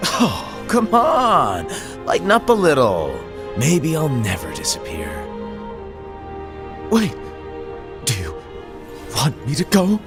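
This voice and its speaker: A breezy master with wildly swinging pitch. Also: male voice, huge pitch swings, slow pace, long pauses, over music, heavily acted. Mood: playful, teasing. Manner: teasing, casual, theatrical. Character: breezy, playful, eccentric male master. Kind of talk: video game cutscene acting.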